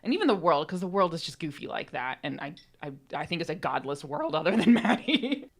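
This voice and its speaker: A woman's voice: low voice, sing-song, a little fast. A woman co-hosting a podcast in casual conversation, talking in an enthusiastic, emphatic way. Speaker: podcast co-host and co-writer.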